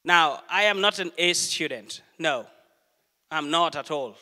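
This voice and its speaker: A middle-aged man from Uganda, preaching a sermon in a church and telling stories with earnest, deliberate pauses. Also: fairly high pitch, pushed and bright. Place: church hall. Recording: long hall reverb.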